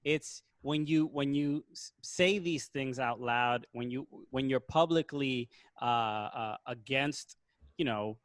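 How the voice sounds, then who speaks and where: A man chatting on a podcast, talking haltingly with many restarts and stammers. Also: mid-range voice, no room sound. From Dominican family. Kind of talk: casual podcast chat.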